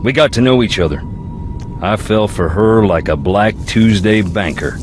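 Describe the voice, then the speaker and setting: Over clear background noise comes a male actor as a brooding Southern US young man from an adventure game. His voice sits low, with a folksy drawl. He muses philosophically with dry, wry wit. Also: moderate pace, close to an everyday voice. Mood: wistful, wry. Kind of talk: video game character dialogue.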